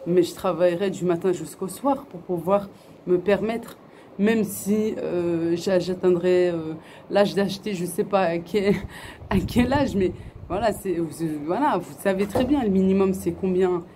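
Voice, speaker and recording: Soft-toned French woman vlogging. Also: lowish voice, measured pace, slight background noise. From France. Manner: earnest, repeats herself, many fillers and restarts. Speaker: family vlogger. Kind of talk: casual talk to camera, answering viewers. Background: faint outdoor ambience.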